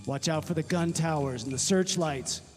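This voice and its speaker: A man playing a plucky time-traveling adventurer in a comedy radio play, reporting on a secret mission with dramatic, breezy deliberateness. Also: fairly high pitch, lightly acted. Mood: conspiratorial, determined. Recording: long hall reverb with music behind.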